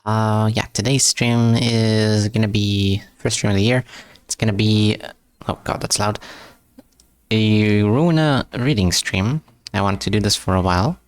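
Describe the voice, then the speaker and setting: A man's voice: fairly low pitch, fairly even intonation, unhurried, clear, resonant tone, dry recording. A man streaming live, chatting casually with viewers in English, which is not his first language, often stumbling and repeating words and joking at his own expense. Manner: stumbles and repeats words, answers chat with joking self-mockery.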